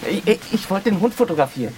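A man acting in a naturalistic radio drama as a nervous, easily agitated aid worker who speaks haltingly and repeats himself. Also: high-pitched, animated, a little mellow, a little hiss or hum, low-quality recording.

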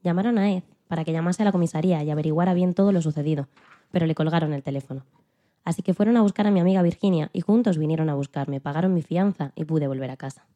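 A woman plays an elderly female activist telling her story in a scripted interview. Her voice is very soft-edged and dark, slightly low, and moves along quickly. She stays calm, earnest and plain-spoken, with quiet dignity. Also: highs cut off, close to an everyday voice. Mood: earnest recounting. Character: Rosa Parks, elderly woman activist recalling the bus boycott, dignified. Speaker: podcast guest in a dramatized interview. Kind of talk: scripted first-person storytelling in interview form.

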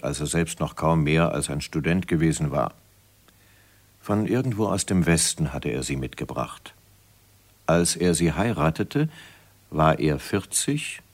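A man narrating a 1970s radio play in a measured, literary storytelling manner. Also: low-pitched.

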